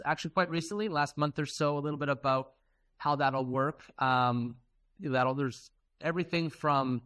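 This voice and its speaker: A man's voice: medium pitch, a bit bright, clear, resonant tone, dry recording. Man giving an informal technical presentation. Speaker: conference presenter, software developer.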